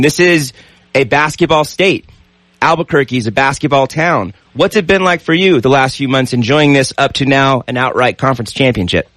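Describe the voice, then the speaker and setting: A man's voice: mid-range voice, fairly bright tone, dry recording. A man hosting a sports radio call-in show, asking questions in an upbeat, conversational way.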